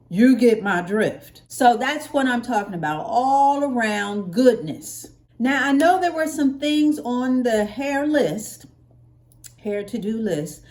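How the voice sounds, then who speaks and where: An American woman talks casually to her viewers as a vlogger. Her voice sits a little high. She is chatty and playful, leaning on words and drawing them out for emphasis. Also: darkish tone. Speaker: hair care vlogger.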